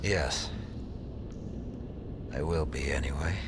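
An actor playing a sinister, weakened Shadow Master in an audio drama, speaking breathily and deliberately. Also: male voice, very deep voice, noisy background. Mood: weary, grim. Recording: loud music bed under voice.

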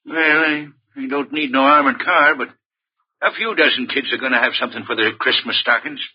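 An actor playing a chilled, good-natured charity Santa in an old radio drama, with folksy working-class speech. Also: male voice, medium pitch, a little fast, breathy edge, lightly acted. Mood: good-natured, weary. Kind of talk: 1940s radio drama acting. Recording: muffled old-time radio recording.

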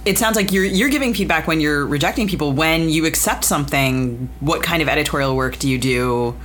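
A woman interviewing as a podcast host, thoughtful and halting as she feels out her phrasing. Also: deep voice, a bit bright, a little hiss or hum. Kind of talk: conversational interviewing.

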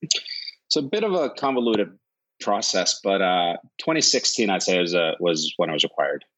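A man from Iceland, a company founder answering interview questions in a steady, thoughtful way, often listing points in runs. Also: low-pitched, moderate pace, poor audio. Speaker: guest, tech founder.

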